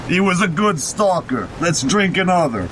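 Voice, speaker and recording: A man's voice: expressive, moderate pace, a bit bright. A man co-hosting a podcast in casual, joking banter with sardonic asides and easy swearing. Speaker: podcast co-host. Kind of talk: casual banter while reading and mocking an online horror story. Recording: noisy, constant low rumble.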